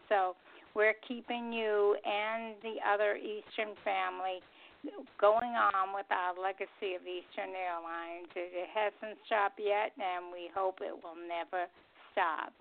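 A woman's voice: mid-range voice, fairly even intonation, measured pace, vocal fry. Earnest woman co-host on a thin phone line. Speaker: radio show co-host. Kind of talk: conversational show announcements. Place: call-in internet radio show over phone.

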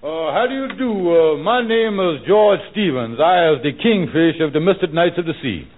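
Wheedling, animated radio schemer. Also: male voice, on the high side, moderate pace. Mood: ingratiating. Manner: wheedling, folksy, mock-solicitous. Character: scheming, smooth-talking male lodge leader, a fast-talking conniver. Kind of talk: 1940s radio comedy acting. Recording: narrow, tinny vintage radio recording.

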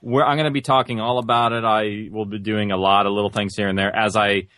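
A man's voice: lowish voice, little pitch movement, fast, fairly bright tone, no room sound, clean studio sound. A man speaking as a guest in a casual interview, explaining things in an informal, rambling way. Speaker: guest, audio-drama creator and producer.

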